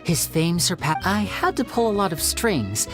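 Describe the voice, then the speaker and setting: Lively, courteous young magician boy. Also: on the low side, moderate pace, over music. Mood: earnest, smooth. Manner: courteous, composed. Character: polite, smooth-talking young male stage magician. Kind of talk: video game voice acting.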